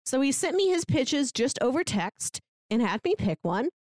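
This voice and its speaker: A woman's voice: sits high, very animated, moderate pace, darkish tone, dry recording. A woman hosting a podcast in a casual, chatty style. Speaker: podcast host.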